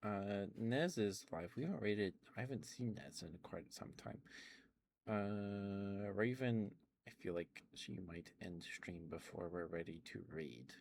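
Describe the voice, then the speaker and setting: A man streaming an ASMR session, rambling softly and chatting with his viewers in a relaxed way. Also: fairly low pitch, measured pace, vocal fry. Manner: soft rambling, talks to chat.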